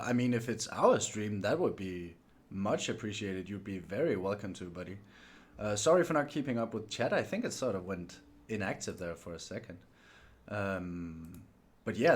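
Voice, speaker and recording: A man hosting a sim racing livestream in casual, chatty talk, polite and a little self-deprecating. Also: on the low side. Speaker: livestream host and sim racer. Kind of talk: casual livestream gaming chat.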